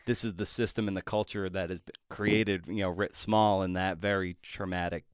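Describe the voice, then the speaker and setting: A man hosting a conversational interview, setting up long questions in halting, reflective phrases. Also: sits low, fairly even intonation, smooth, no echo, studio quality. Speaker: podcast host interviewing an author.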